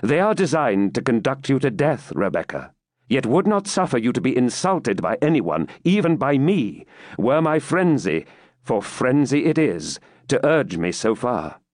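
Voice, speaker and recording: A man's voice: mid-range voice, very animated, a little slow, a little mellow, no room sound, studio quality, voice pushed up. A man voicing a proud, brooding medieval knight in an audiobook, with grave, formal, archaic declamation. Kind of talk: dramatised audiobook reading.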